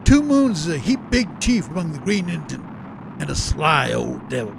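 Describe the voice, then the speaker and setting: A man's voice: a little high, expressive, vocal fry, background noise. A man playing a folksy, breezy frontier agent in a radio serial, with colloquial phrasing. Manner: folksy, drawn-out colloquial talk. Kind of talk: old-time radio serial acting.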